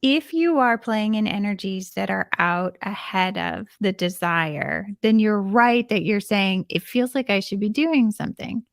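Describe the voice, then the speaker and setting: A woman hosts a live conversation, warmly affirming and agreeing with her guest often. She has a gravelly, fry-edged voice. The sound is dry and close. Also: medium pitch, lively intonation, unhurried, hardly any pauses, dry recording. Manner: affirming, lots of agreeing interjections. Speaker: host. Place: live event stage.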